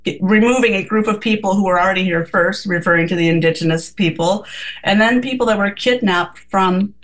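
A woman's voice: medium pitch, brisk, poor audio. A woman co-hosting a casual radio talk, speaking earnestly and reflectively with many restarts.

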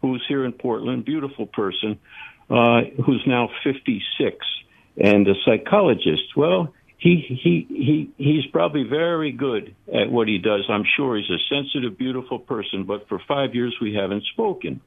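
Elderly man, eighty, halting and reflective, gravelly voice, phone-line sound. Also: medium pitch. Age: 80s. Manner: halting, reflective, many fillers. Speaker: caller. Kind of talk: call-in radio chat.

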